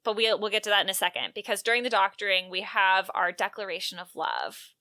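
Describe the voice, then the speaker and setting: Woman, podcast co-host, conversational recap, bright forceful tone, dry close sound, animated storytelling. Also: mid-range voice, quick pace, dry recording.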